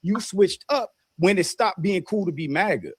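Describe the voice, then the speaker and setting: Male interviewer, higher-pitched, dry close sound, pointed and critical. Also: expressive, normal speed, husky, dry recording. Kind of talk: confrontational interview.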